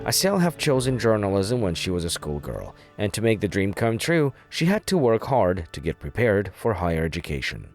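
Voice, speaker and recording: Deep-voiced male documentary narrator over music. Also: sing-song, normal speed, few pauses. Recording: music behind the voice. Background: background music.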